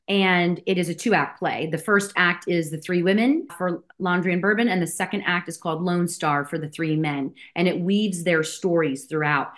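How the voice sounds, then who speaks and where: Woman director-actress, lower pitch, chatty interviewee with fillers and restarts. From New Jersey. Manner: chatty, many fillers and restarts, laughs between thoughts. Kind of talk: casual interview answers.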